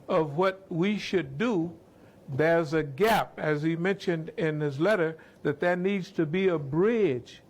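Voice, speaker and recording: A man with a fairly high voice speaks as an imam on a discussion panel. He talks slowly and deliberately, weighing each phrase, with steady pauses between thoughts. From United States. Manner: slow, measured, deliberate. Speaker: imam answering questions.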